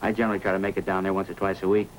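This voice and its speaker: A man's voice: sits low, monotone, rapid-fire, close to an everyday voice, poor audio. A man playing a friendly, talkative fish hobbyist and eager witness in a 1950s radio drama. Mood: chatty, earnest. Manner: talkative, folksy, hesitant asides. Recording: old radio recording with background noise.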